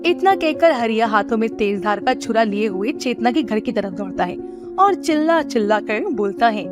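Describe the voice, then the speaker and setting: A woman giving scripted narration of an animated moral story. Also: fairly high pitch, moderate pace, music in the background.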